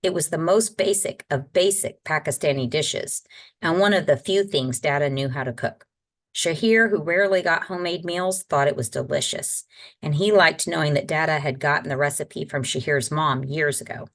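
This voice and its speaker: A woman's voice: low voice, moderate pace. A woman reading a children's novel aloud as a guest storyteller, in scripted narration. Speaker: guest reader.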